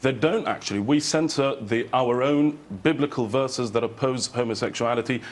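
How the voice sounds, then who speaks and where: A man arguing his case as a head teacher in a studio debate, measured, explanatory and firm. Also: mid-range voice, a little hiss or hum.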